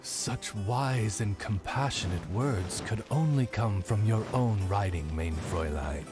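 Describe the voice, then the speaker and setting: A man's voice: fairly low pitch, unhurried, music in the background, lightly acted. A man voicing a courtly, loyal raven attendant in a video game, formal and refined in manner. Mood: deferential. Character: dignified, courtly male raven familiar, loyal butler-like attendant.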